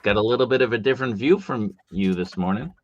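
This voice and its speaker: A man's voice: fairly low pitch, expressive, fast talker, highs cut off, slight background noise. A middle-aged man with a Boston accent hosting a casual livestream, chatting and reading out comments from viewers. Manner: chatty, reads chat comments aloud. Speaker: livestream host. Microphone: desktop mic.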